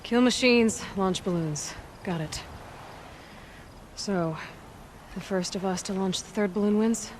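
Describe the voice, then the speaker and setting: An actress playing a determined, wry young outlander huntress in a video game, plain-spoken and clipped. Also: female voice, medium pitch, a little slow, darkish tone, slight background noise, natural voice. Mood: dry, businesslike. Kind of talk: naturalistic video game acting.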